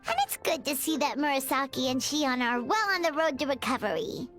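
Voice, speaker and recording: A woman voicing a small, chirpy, excitable childlike fairy companion in an anime video game, full of exclamations. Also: sits high, brisk. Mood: excited. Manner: speaks of self in third person, exclaiming. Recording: loud game music behind.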